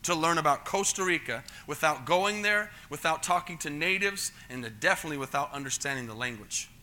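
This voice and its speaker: A man's voice: on the high side, expressive, a little fast, pushed and bright. A middle-aged American man preaching a sermon, teaching in a conversational way, repeating words and checking that the congregation follows. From United States. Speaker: pastor.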